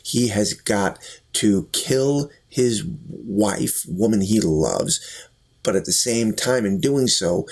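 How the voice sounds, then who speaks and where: A man with a husky voice giving an earnest, deliberate explanatory review and commentary, as a vlogger. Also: on the low side, darkish tone. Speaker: YouTube reviewer. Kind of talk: talk-to-camera review and commentary.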